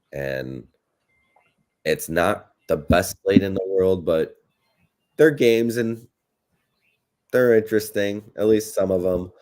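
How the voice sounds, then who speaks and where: A man's voice: sits low, a little mellow. A man with an American accent co-hosting a sports show, chatting casually and conversationally about college football in a rambling way.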